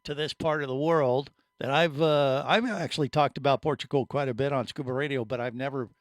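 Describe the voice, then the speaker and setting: A male podcast host talking casually and with upbeat energy, steering the conversation with questions. His voice sits at a middle pitch and sounds close and dry, with no room around it. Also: brisk, dry recording. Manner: upbeat, asks guests questions.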